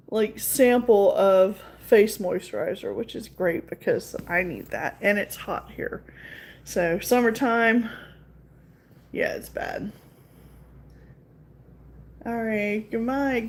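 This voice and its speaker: A woman's voice: medium pitch, darkish tone. A woman with a Southern accent, casually chatting with her viewers on a livestream in a rambling way, full of fillers. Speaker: livestream host.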